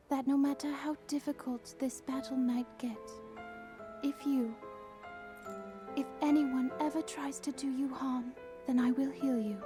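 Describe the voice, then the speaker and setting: A high-voiced, gentle young water princess from a video game. She speaks softly and hesitantly, with a shy, tender devotion. Music plays clearly behind her. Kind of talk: video game cutscene acting.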